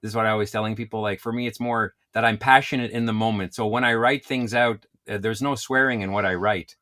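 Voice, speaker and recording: A man from Quebec, a comedian, chatting casually as an interview guest, animated and quick to laugh. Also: fairly low pitch. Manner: animated, laughs often, self-described loud voice.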